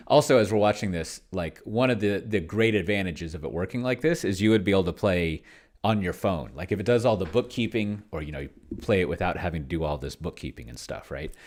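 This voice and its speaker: Very deep-voiced man thinking aloud. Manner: rambling, muttering to himself, dictating slowly as he types. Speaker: solo tabletop player demonstrating a game. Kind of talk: casual screen-recording commentary, thinking aloud while typing. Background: keyboard typing.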